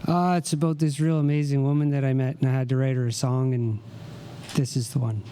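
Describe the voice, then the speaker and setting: A man's voice: medium pitch, level tone, brisk, warm tone, slight background noise. A man speaks as a musician being interviewed. His chat is casual, modest and laid-back, in short plain answers. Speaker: singer-songwriter guest.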